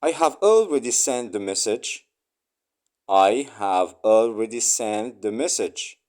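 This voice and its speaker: A man reading short English phrases aloud for learners, saying each one three times slowly and clearly. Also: lowish voice, lively intonation, darkish tone.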